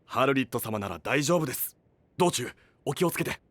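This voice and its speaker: A man's voice: mid-range voice, expressive, brisk, a bit bright, no echo. An actor playing a devoted male attendant in an anime-style voice drama, formal and respectful. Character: polite, devoted male attendant to a young knight.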